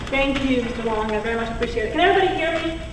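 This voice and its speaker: A woman professor from Croatia, speaking quickly with frequent ums as she presents to a university board. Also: high-pitched, lively intonation. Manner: fast-talking, frequent ums. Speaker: sociology professor. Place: large meeting hall. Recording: echoey hall, distant mic, noise.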